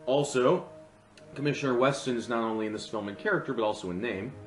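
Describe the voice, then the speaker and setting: A man's voice: mid-range voice, fast talker. A man giving an informal, comedic film review with sarcastic, exaggerated commentary. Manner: sarcastic, exaggerating for comic effect. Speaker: video reviewer and host. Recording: roomy echo under music.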